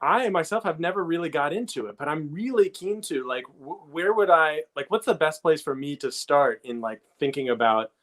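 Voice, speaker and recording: A man's voice: on the high side, expressive, fairly bright tone. A man hosting a casual interview, feeling his way through questions and rephrasing them as he goes. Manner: halting, repeats and rephrases questions thoughtfully. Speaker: interviewer, host.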